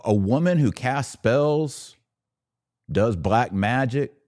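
A man's voice: medium pitch, expressive, slow, darkish tone, no echo, clean studio sound. An American man giving opinionated solo podcast commentary, sarcastic and emphatic, repeating phrases for effect.

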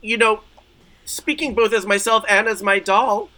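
A woman's voice: mid-range voice, measured pace, bright, forceful tone. A woman improvising a comic role-play as an eccentric, self-dramatizing therapy enthusiast, earnest, effusive and theatrical. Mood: self-justifying.

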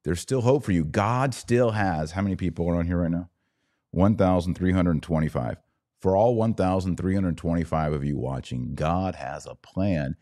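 American man, very low voice. Podcast host, conversational but preachy, talking faith and politics to live viewers. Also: moderate pace, mellow. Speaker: podcast host and religious commentator. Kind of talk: live religious and political commentary, answering viewer comments.

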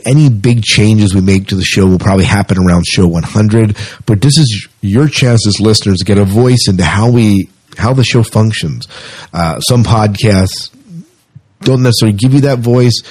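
A man with a fairly low, husky voice hosts a podcast in casual talk. He speaks conversationally and sometimes doubles back on his words. The sound is close and very dry. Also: darkish tone, no room sound. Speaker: podcast host.

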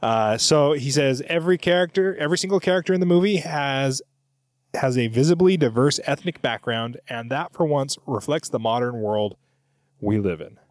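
A man's voice: medium pitch, normal speed, dry recording. A middle-aged man hosting a podcast, chatting casually and reading out listener comments in a rambling, self-correcting way. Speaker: podcast host.

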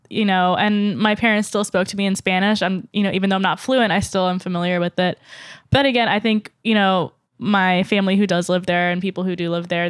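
A woman's voice: mid-range voice, clean tone, no room sound, clean studio sound. A young woman who grew up in the United States, a guest in a casual podcast talk, speaking openly and thoughtfully with easy laughs. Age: young adult. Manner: thoughtful, open, laughs easily. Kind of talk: casual group conversation.

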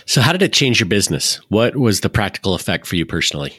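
A male podcast co-host asks calm, curious questions in a very deep voice. His voice is recorded dry and close, with no room echo. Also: fast talker, husky. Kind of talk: interview questions.

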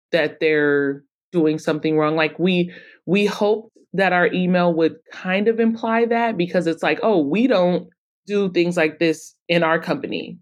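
A woman speaking as a guest in a conversational podcast interview, explaining her points emphatically. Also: on the low side. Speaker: guest author and anthology publisher.

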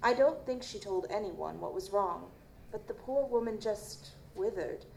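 A woman playing a guarded, formal chief medical examiner in an audio drama, measured and dismissive. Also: mid-range voice, expressive, vocal fry, a little mellow. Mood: measured, evasive. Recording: roomy, noisy live stage recording.

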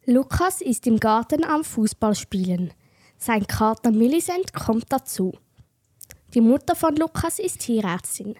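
A woman's voice: fairly high pitch, lively intonation, unhurried, darkish tone, no room sound. A woman reading scripted narration for a children's radio play.

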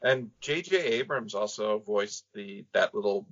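A man's voice: fairly low pitch, unhurried, a bit bright, muffled recording. A man chatting casually about films as a podcast panelist.

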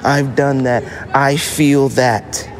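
A man's voice: medium pitch, slow pace. Casual man podcasting over background noise. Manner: animated, slangy, acts out imagined quotes. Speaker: podcast host. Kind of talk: casual opinionated podcast monologue.

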